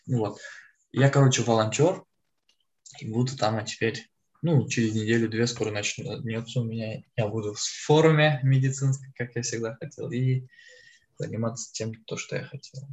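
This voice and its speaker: A man's voice: mid-range voice, moderate pace, darkish tone. An eighteen-year-old man, co-hosting a podcast in a casual, conversational style. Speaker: podcast co-host.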